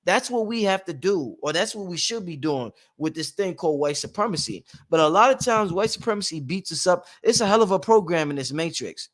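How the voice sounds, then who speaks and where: High-voiced preachy call-in host, man. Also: a bit bright. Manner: preachy, emphatic, repeats phrases for stress. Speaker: host taking calls. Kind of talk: live call-in show talk.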